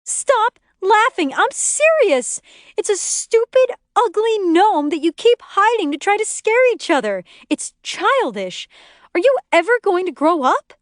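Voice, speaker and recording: A woman narrator performs a young mother who is strict and easily outraged. Her voice rises high and snaps with exasperation as she scolds, in the manner of audiobook dialogue. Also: big pitch swings, normal speed, darkish tone, dry recording, clean studio sound. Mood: shrill, outraged.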